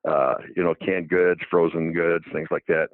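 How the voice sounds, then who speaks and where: A man's voice: low voice, little pitch movement, moderate pace, no echo. A man in his seventies, a doctor, talking casually on the radio with many restarts and fillers. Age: 70s. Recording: phone-like dry narrow line.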